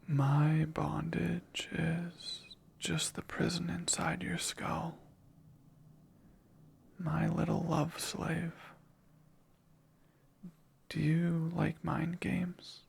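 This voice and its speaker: A man voicing a possessive male sleep paralysis demon in an ASMR roleplay, whispering slowly and teasingly in a gravelly, breathy voice. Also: on the high side, flat delivery, heavily acted. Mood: possessive, menacing. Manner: hushed close whispering, slow and teasing.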